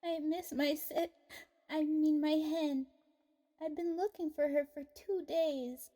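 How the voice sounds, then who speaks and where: A woman's voice: high-pitched, fairly even intonation, slow pace, warm tone. A woman playing an earnest, polite and plucky young farm girl in a fantasy audio drama. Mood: sad, worried.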